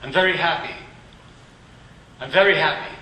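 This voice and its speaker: Grieving father in a radio play, bright tone, halting and soft, played by a man, heard from across an echoey room. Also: on the high side. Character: grieving gentle clerk and father. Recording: roomy, distant from the mic.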